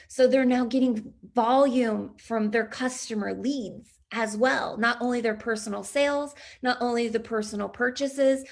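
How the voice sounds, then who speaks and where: Upbeat chatty woman, slightly raspy voice. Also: on the high side. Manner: upbeat, chatty, frequent laughs and throat clearing. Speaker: business coach. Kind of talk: online training presentation.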